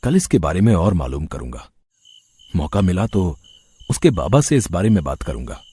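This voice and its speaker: Man acting a husky kindly tea-stall uncle. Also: sits low, sing-song, moderate pace, mellow, no room sound, heavily acted. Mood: worried. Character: kind, worried older tea-stall uncle, fatherly and gentle. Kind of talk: Hindi moral-story cartoon voice acting.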